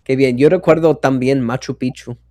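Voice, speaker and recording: A man hosting a podcast in casual chat, a Spanish learner with as his who often pauses to search for words. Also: medium pitch, fairly even intonation, darkish tone, dry recording, studio quality.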